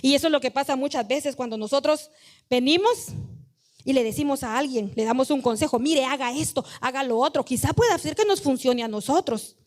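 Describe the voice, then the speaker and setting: A woman's voice: sits high, expressive, brisk, a little mellow. A woman pastor preaching a sermon in a conversational, emphatic way, speaking directly to the congregation and acting out quoted voices.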